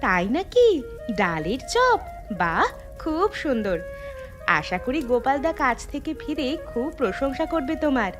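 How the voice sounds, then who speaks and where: Cartoon neighbour played by a woman: nosy, coaxing village housewife, animated and high, over clear music. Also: unhurried. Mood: delighted, curious.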